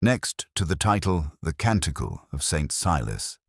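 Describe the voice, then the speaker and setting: A man narrating a scripted first-person detective story in a measured, brooding, literary manner. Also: rumbling low, big pitch swings, creaky, a bit bright, husky, no room sound, clean studio sound.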